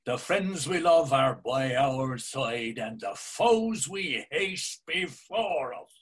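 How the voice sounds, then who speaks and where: An actor with an Irish accent playing a fiery nationalist Dublin drinker who rants in a husky voice, read over a video call. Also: male voice, medium pitch, expressive, slow pace. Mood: ranting. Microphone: video call webcam.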